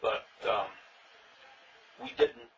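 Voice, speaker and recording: An older man, interviewed about his early days in a reenactment society, telling stories with dry, plainspoken humor and a loud voice. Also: extremely slow, distant mic. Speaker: interviewee, former king of a historical reenactment society. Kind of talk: casual storytelling interview. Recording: dull sound, faint noise, from off mic.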